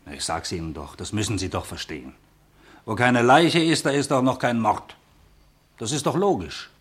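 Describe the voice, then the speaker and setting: An actor playing a pedantic police sergeant in a German radio play, explaining things in an officious, matter-of-fact way. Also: male voice, medium pitch, animated, moderate pace, breathy edge. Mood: officious, insistent. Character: pedantic male police sergeant taking down a report.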